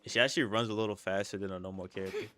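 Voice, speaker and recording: A man's voice: lowish voice, very fast. A young man in casual gaming chat, rambling informally as he plays. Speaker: gamer chatting during gameplay. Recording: voice over loud game music and dialogue. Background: game music and character dialogue.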